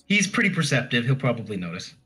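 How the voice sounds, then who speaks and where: A man's voice: mid-range voice, big pitch swings, quick pace, bright, forceful tone, soft background music. A man casually describing his character's actions as a player in a tabletop game.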